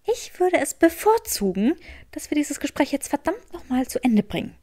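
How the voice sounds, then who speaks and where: Audiobook role: bossy, flustered young woman, put on by a woman reader, raised pitch, snappy and indignant. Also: big pitch swings, moderate pace, darkish tone. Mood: exasperated.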